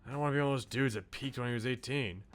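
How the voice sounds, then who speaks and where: A man's voice: medium pitch, racing, soft background music, natural voice. A man voicing a brash, insecure but loyal eighteen-year-old slacker in a visual novel, with blunt, casual slang. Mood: casual, self-deprecating. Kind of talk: visual novel character read aloud.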